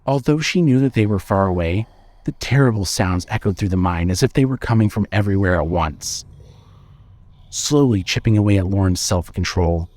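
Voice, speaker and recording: A man narrating a scripted suspense story in a measured, dramatic storytelling manner. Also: lowish voice, animated, a little mellow, dry recording.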